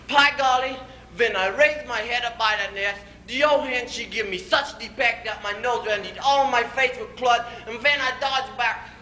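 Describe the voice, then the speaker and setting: A man puts on a stout farmer, telling comic tales in mangled English. His voice is high and swings up and down with a quick temper. The recording is echoey. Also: pushed and bright, slight background noise, heavily acted. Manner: broken English with comically muddled grammar. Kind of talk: comic dialect monologue.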